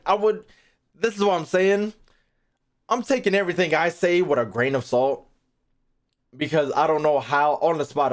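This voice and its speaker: Rambling, slangy man streaming. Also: medium pitch. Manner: rambling, repeats phrases, trails off mid-thought; slangy, heavy swearing. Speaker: gaming streamer, YouTuber. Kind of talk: casual live-stream commentary, thinking aloud.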